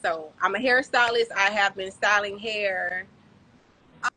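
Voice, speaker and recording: A woman in her thirties, from the Bahamas and raised in South Florida, telling her story as a podcast guest in a relaxed, chatty way with many "um"s and "like"s. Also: medium pitch, measured pace, rough recording. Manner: warm, chatty storytelling with frequent "um" and "like". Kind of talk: casual interview chat.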